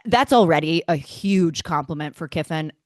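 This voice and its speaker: A woman's voice: low voice, lively intonation, normal speed, no room sound, clean studio sound. A woman co-hosting a motorsport podcast, talking through a race in an enthusiastic, conversational way. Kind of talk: casual race recap chat.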